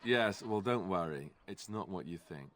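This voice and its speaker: An actor playing a wry, mock-innocent young warrior in a video game, flippant and teasing. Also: male voice, sits low, animated, moderate pace, a bit bright.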